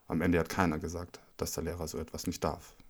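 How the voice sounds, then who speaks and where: Deep guy reading a story out loud, gravelly and pretty flat, steady and calm. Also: fast. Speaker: reader. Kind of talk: reading a personal text aloud. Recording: intro over zither music.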